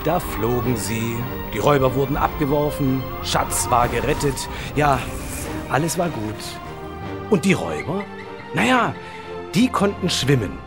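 A man narrating a radio play, telling the story in a calm, plain way. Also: mid-range voice, measured pace. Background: loud music.

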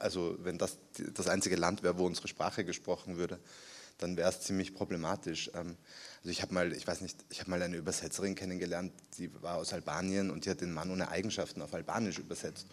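A man in his thirties from Austria with a Viennese accent, an author answering questions at a library reading, relaxed and halting with many fillers. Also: sits low. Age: 30s. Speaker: author guest. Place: city library event room.

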